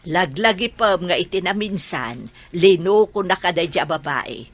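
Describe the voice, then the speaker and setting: A woman's voice: fairly low pitch, expressive, normal speed, no echo. An actress playing a suspicious, scolding mother in a radio drama.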